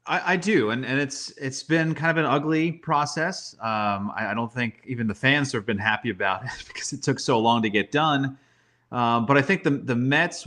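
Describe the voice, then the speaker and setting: A man's voice: medium pitch, a bit bright. A man talking through sports analysis as a guest, in a conversational way.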